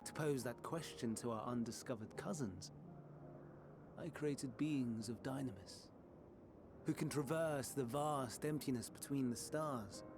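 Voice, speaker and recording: An actor playing a melancholy, idealistic scholar in a video game, speaking in measured, formal, archaic phrases. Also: male voice, mid-range voice, over music, lightly acted. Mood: earnest, wistful. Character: soft-spoken melancholy male scholar, idealistic, quietly tormented. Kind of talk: dramatic video game voice acting.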